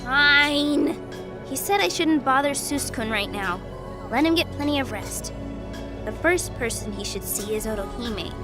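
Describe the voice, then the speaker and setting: A high-voiced fox girl, anxious, whiny and hopelessly lovestruck. She talks in casual youthful phrases, in a mythological audio drama with clear music behind the dialogue. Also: expressive, unhurried. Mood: sulky.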